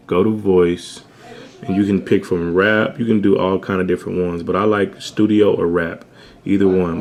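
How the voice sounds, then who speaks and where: Bassy, gravelly young man, flat and low. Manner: laid-back slangy, says "man" often. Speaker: home-studio music tutorial host. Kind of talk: casual tutorial talk. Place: home studio.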